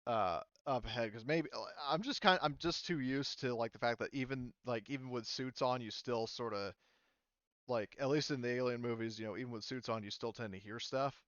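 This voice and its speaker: A man's voice: mid-range voice, brisk, a bit bright, clean tone, no room sound, highs cut off. A man improvising as game master in a tabletop game, thinking aloud hesitantly and swearing casually.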